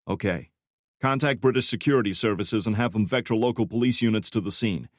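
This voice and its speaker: A tense agency section leader, as a male narrator voices him, barking clipped orders at a raised, forceful pitch. It is close-miked and dry, with nothing behind the voice. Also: fairly quick, no echo, natural voice. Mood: commanding. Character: commanding middle-aged male section leader, urgent.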